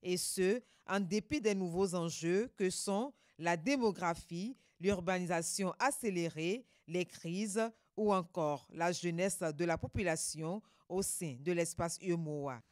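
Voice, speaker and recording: A woman's voice: fairly low pitch, measured pace, hardly any pauses, no echo, clean studio sound. A woman narrating a scripted TV news report.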